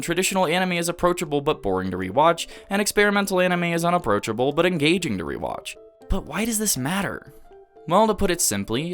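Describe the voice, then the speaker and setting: A man delivering scripted, analytical video essay narration in a measured, emphatic, rhetorical way. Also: fairly high pitch, fairly bright tone. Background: background music.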